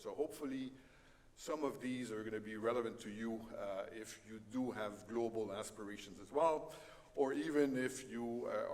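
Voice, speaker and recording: A middle-aged man with a French Canadian accent gives a conference presentation in a halting way, with many fillers and light self-deprecating humor. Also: on the low side, darkish tone. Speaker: conference speaker. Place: conference hall. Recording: reverberant conference hall with faint noise.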